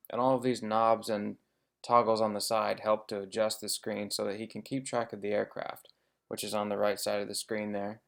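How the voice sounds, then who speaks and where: Male presenter, lowish gravelly voice, plainspoken explainer of his own trade, dryly humorous. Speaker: air traffic controller giving a presentation. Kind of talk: informative slide presentation.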